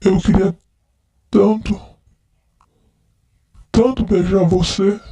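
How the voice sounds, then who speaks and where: A drunk, heartbroken man, played by a male actor, pleads over and over in a first-person roleplay. His voice sits fairly high yet sounds dark and mellow. He slurs and repeats himself as he begs his friend to stay close. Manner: repetitive, pleading.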